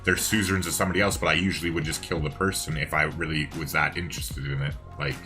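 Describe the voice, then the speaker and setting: A man's voice: extremely low pitch, fairly even intonation, quick pace, sharp tone, soft background music. A man giving casual, chatty live game commentary as a co-caster, rambling as he works things out. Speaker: esports-style co-caster and streamer.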